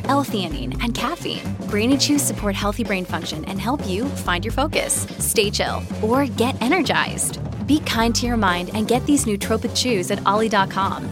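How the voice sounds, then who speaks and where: Upbeat woman reading an ad over music. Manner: bright, upbeat product pitch. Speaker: ad announcer. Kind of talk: scripted ad read. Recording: music bed behind.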